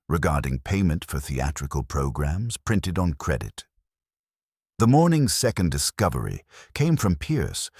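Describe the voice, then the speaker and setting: A man reading a scripted mystery story in a measured, formal storytelling manner. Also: sits low, darkish tone, no room sound, studio quality. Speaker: narrator. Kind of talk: scripted mystery audiobook narration.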